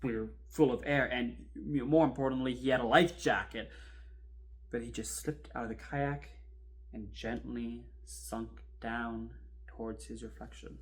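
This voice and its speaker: A man's voice: mid-range voice, fairly even intonation, natural voice. Man acting as a wry, chatty storm survivor. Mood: hushed dread. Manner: wry, informal, halting for suspense, swelling then hushed. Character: chatty kayak rescuer recounting an eerie near-drowning. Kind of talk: conversational horror monologue, animated storytelling.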